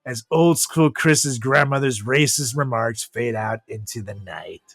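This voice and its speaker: A man podcast host narrating a comedic story with dramatic pauses, over background music. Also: medium pitch, a bit bright, dry recording, clean studio sound. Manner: dramatic pauses, drawn-out delivery.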